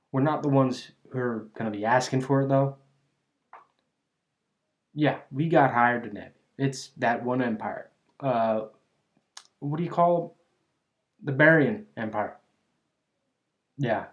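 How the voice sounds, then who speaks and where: A man performs a bandit gang leader with a mid-pitched male voice. He is cocky yet oddly friendly, talking straight to the listener in loose, folksy slang. The delivery is a teasing, chatty ASMR roleplay monologue. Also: a little mellow, natural voice. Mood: sly, chatty.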